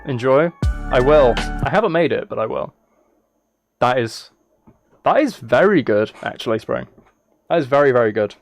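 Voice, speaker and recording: A man's voice: medium pitch, expressive, normal speed, pauses often, faint music behind. A man livestreaming casually, reacting and chatting in an easily sidetracked, self-deprecating way. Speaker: live streamer. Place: indoors at a streaming setup.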